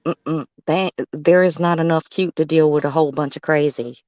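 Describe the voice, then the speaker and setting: A woman's voice: low voice, steady tone, moderate pace, darkish tone, no room sound, lo-fi sound. A middle-aged woman talking as a guest on a stream from a parked car, playful and teasing. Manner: playful, teasing, laughs easily. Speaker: guest, host's mother.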